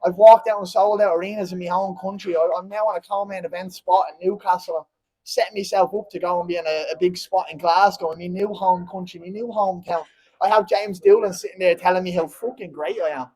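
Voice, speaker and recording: Husky-voiced Dublin man in his twenties. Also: high voice, steady tone, quick pace, darkish tone, poor audio. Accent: Dublin Irish. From Ireland. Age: 20s. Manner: blunt, heated and rambling, keeps asking "you know what I mean". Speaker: fighter at a press conference. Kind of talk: post-fight press conference.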